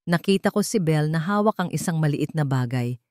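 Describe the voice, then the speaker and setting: A woman's voice: low-pitched, lively intonation, brisk, darkish tone, dry recording, clean studio sound. A woman with a Filipino accent reading a dramatic romance story as its narrator.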